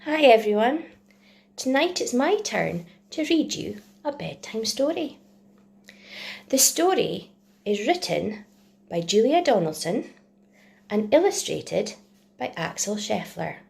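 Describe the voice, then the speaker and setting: A woman reading a children's bedtime story in expressive, rhythmic rhyming narration, like a primary teacher. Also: fairly high pitch, takes it slowly, vocal fry, pauses often, husky.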